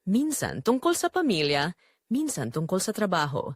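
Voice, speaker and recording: A woman's voice: fairly low pitch, wildly swooping pitch, moderate pace, no echo, clean studio sound. A woman with a Filipino accent narrating a scripted dramatic story as a storyteller.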